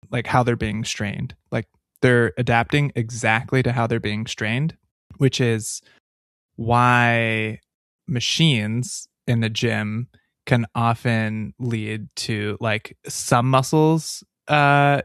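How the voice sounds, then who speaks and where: A man's voice: mid-range voice, a bit bright, dry recording, clean studio sound. A man co-hosting a podcast, rambling in casual chat with lots of fillers. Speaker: podcast co-host.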